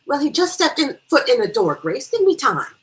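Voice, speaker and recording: A woman voicing a reserved, mildly prim small-town woman with a measured, firm manner in a storybook reading. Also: on the low side, expressive, a bit bright. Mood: exasperated.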